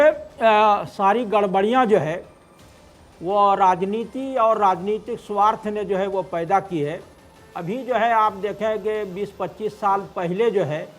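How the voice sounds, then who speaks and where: A man speaking as a cleric in an interview, explaining at length in a halting, wordy way with repeated filler phrases. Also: sits high.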